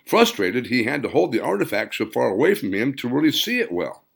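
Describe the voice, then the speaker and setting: A man's voice: mid-range voice, sing-song, brisk, vocal fry, slightly rough. A man reading a scripted story aloud as an audiobook narrator. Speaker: author reading own audiobook.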